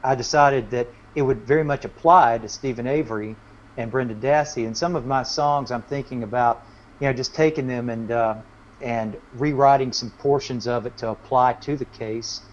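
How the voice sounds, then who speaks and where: Male guest musician, unhurried rambling chat, understated delivery, muddy low-fidelity recording. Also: fairly even intonation, dull audio, a little hiss or hum. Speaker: guest singer-songwriter. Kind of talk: casual chat.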